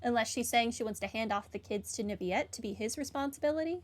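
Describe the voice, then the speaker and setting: A woman's voice: a little high, fast, slight background noise. A woman casually commenting while playing a video game. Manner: casual, offhand remarks. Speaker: let's-play player. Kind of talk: casual commentary over gameplay.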